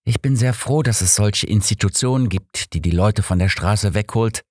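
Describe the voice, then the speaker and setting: A man's voice: on the low side, moderate pace, a little mellow, slight background noise, pitched above the performer's own voice, natural voice. A man voicing a curious, naive, polite young woman in an audiobook, earnest and questioning. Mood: naive surprise. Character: curious, naive, well-mannered young woman, the detective's companion.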